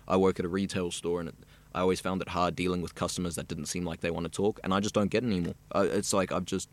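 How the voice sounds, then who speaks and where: A man's voice: sits low, level tone, fast. A young man from South Africa, a radio student chatting easily and at length in an interview. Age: young adult. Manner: chatty, rambling and easygoing. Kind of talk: casual interview chat.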